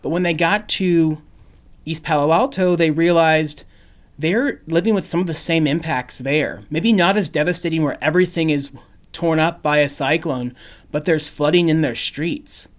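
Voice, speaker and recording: A man's voice: a little high, normal speed. A young adult male reporter explaining a local story in a casual way, with some fillers. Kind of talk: radio news feature narration.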